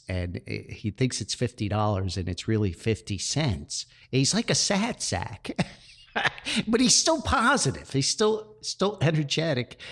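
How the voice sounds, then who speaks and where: A male podcast host casually sets up comedy skits. His voice is fairly low and gravelly, moving around a lot in pitch. He talks in a halting, amused way.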